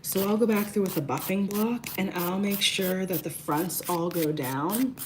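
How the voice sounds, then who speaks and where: A woman in her thirties, hoarse and raspy from losing her voice, chatting casually to livestream viewers during a nail tutorial and greeting them by name. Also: mid-range voice, normal speed, slight background noise. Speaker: beauty livestream host.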